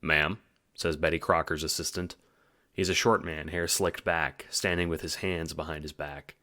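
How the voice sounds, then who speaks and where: A man's voice: rumbling low, fairly even intonation, normal speed, bright, forceful tone. A man delivering scripted audiobook narration in a wry, theatrical, playful way.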